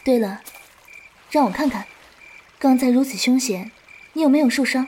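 A woman playing a calm, principled, protective elder-sister swordswoman in a martial-arts audio drama. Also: high voice, moderate pace, slight background noise, close to an everyday voice. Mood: coaxing. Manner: composed, coaxing, righteous lecturing.

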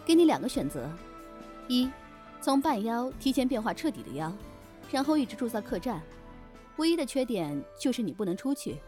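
Woman acting a shrewd, animated demon innkeeper. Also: a little high, normal speed, over music. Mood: offhand. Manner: teasing, bossy, offhand. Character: shrewd, breezy keeper of a demon inn. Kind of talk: audio drama acting.

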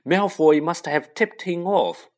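A man's voice: fairly high pitch, slow, pitched above the performer's own voice. A man voicing a bossy, anxious young schoolgirl in a storybook, insistent and scolding.

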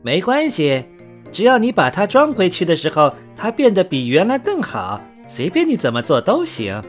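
A man's voice: high-pitched, huge pitch swings, normal speed, soft background music, voice pushed up. A man voicing a cheerful, trusting living scarecrow in a children's storybook, eager and emphatic. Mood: carefree.

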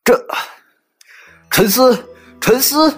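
A man acting as a passionate, lovelorn chef in a radio drama. His pitch swings widely in bewildered pleas, with clear music behind him. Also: animated, extremely slow, heavily acted. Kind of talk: Mandarin audio drama acting.

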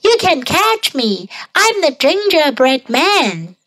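A woman's voice: high voice, big pitch swings, slow, a bit bright, pitched above the performer's own voice, exaggerated voice, poor audio. A woman voicing a cocky, gleeful little gingerbread man from a children's storybook, with a taunting singsong delivery. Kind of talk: bilingual children's storybook character voice.

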